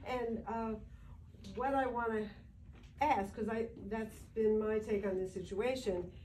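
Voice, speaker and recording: An older woman in the audience asks a question, speaking softly and hesitantly and rambling a little. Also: fairly high pitch, fairly even intonation, unhurried, slight background noise, poor audio. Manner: soft-spoken, hesitant, rambling. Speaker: audience member asking a question. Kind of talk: question from the floor. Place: community meeting room. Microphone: far camera, no microphone.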